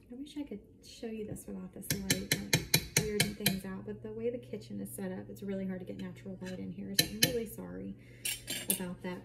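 A woman with a medium-pitched voice talks casually through her home cooking, adding chatty explanations as she goes. She is recorded on a camera mic a short distance away in a kitchen, with clear noise behind her. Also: a little mellow. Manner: chatty, explanatory asides while working. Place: home kitchen, indoors at night.

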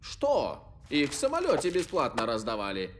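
A man's voice: medium pitch, huge pitch swings, moderate pace, slight background noise. A man voicing an impressionable, amazed young male teammate in a cartoon dub.

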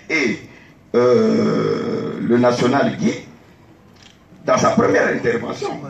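A man from the Democratic Republic of the Congo, giving argumentative debate answers with rhetorical questions on an outdoor tent stage. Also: mid-range voice. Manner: argumentative, rhetorical questions, repeats key points. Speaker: candidate for party president. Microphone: phone recording from the audience. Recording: echoey, distant phone recording.

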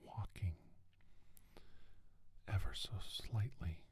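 Man acting a haunted abductee, slow low whisper. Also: breathy edge, exaggerated voice. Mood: anguished, dread. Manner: slow, halting, deliberate, with pauses and repeated words. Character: haunted male doctor recounting his alien abduction in testimony. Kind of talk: whispered ASMR roleplay monologue.

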